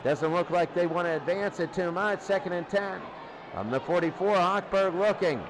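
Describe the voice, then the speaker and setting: A man calling live American football play by play in an excitable, exclaiming manner. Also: high-pitched, normal speed. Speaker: play-by-play announcer. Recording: clearly noisy recording.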